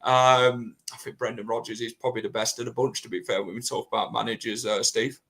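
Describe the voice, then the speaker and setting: A man's voice: medium pitch, fairly even intonation, fairly quick, fairly bright tone. Chatty man hosting. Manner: blunt, chatty, mild swearing. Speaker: podcast host. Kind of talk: casual football podcast chat.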